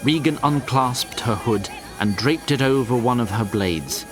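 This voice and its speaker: A man narrates a fantasy audio drama like a storyteller, with wry asides to the listener. His medium-pitched voice moves in lively swings. Loud music plays behind him. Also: a little slow.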